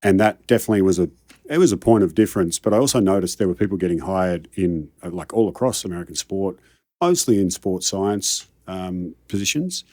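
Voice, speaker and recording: Australian man, middle-aged, low voice, rambling casual storyteller. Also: animated, a little fast, mellow, no room sound, clean studio sound. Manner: rambling, self-deprecating, many restarts. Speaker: high-performance coach, guest.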